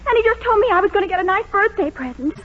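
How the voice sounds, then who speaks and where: A woman's voice: very high voice, expressive, rapid-fire. A woman acting a sweet, earnest, easily dismayed young woman in a 1940s radio sitcom, with a plaintive manner. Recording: noisy, muffled 1940s radio recording.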